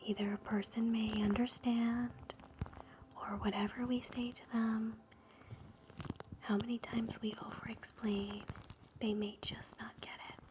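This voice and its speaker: A woman whispering slow, gentle affirmations for ASMR at home, close to a sensitive mic. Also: fairly high pitch, little pitch movement, noisy background. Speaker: ASMR host. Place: home, indoors.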